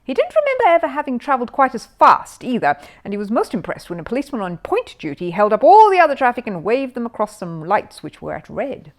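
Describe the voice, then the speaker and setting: A woman's voice: on the high side, wildly swooping pitch, fast talker, hardly any pauses. A woman narrating a children's story expressively from a written text.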